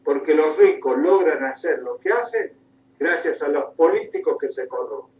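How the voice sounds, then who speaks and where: Male Argentine political speaker, higher-pitched voice, emphatic oratory, picked up from a distance in a room. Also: animated, normal speed, darkish tone. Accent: Argentine Spanish. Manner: emphatic, oratorical, repeats phrases and asks rhetorical questions. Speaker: guest lecturer, political activist. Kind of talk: impassioned political lecture. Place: indoor room, recorded at a conference. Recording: roomy, distant mic, dull sound.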